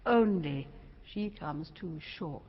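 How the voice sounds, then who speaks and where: An actress playing a competitive, flattering princess in a classical Shakespearean stage drama, speaking formally and effusively. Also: female voice, low-pitched, takes it slowly, slightly rough, full character voice. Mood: ingratiating, competitive. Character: competitive, flattering princess, a king's middle daughter. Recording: muffled, reverberant hall sound.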